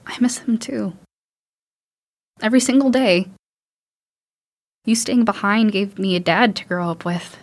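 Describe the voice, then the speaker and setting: A young survivor daughter in a post-apocalyptic audio drama, played by a woman, tough yet loving. Her voice sits at a middle pitch. She talks in a frank, conversational, reassuring way. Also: measured pace, pauses often. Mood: consoling, sad.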